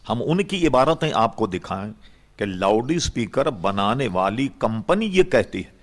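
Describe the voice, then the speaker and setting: A man's voice: medium pitch, creaky. An older man, an Islamic scholar answering questions in an informal, explanatory, conversational way. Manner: explanatory, conversational, uses examples and check-in questions.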